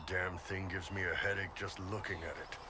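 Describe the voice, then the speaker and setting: An actor playing a gruff, grumbling male fighter in a video game, talking in an offhand, casual way. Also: low-pitched, fairly even intonation, normal speed, lightly acted. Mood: weary, grumbling. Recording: clear background noise.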